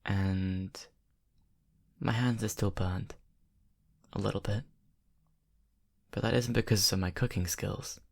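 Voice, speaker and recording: A man's voice: lowish voice, steady tone, takes it slowly, gravelly, long pauses, full character voice. A man playing a brooding young vampire confiding in his best friend in an ASMR roleplay, hesitant and intimate. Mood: hesitant confession.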